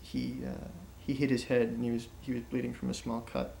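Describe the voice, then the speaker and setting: An actor playing an uneasy man giving a halting, understated account in a naturalistic documentary interview style. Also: mid-range voice, level tone, creaky, darkish tone, slight background noise. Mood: shaken. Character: uneasy man recounting meeting his secret half-brother.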